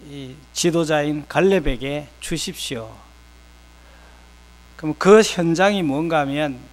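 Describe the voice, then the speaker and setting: An older man from South Korea preaching a sermon with personal anecdotes, speaking conversationally in short, unhurried phrases. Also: fairly high pitch.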